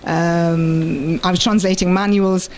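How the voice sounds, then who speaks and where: British translator lady, middle-aged, lots of ums, talks it through slowly. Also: lowish voice, steady tone, darkish tone, slight background noise. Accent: British English. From United Kingdom. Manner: thoughtful, pauses often with fillers. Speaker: interviewee, freelance translator. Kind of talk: conference interview and personal story.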